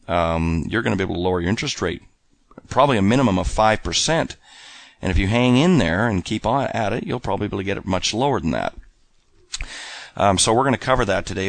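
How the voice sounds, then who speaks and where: A man's voice: on the low side, vocal fry, dry recording. A man giving an informal instructional talk as a personal finance teacher, often repeating and rephrasing his points.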